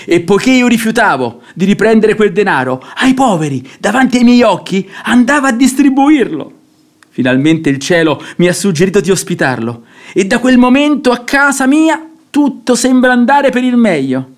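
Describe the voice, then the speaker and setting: High-voiced, gushing, credulous nobleman. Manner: fervent, gushing, theatrical. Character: credulous wealthy nobleman, devoted admirer of a pious guest. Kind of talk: classical stage comedy radio acting.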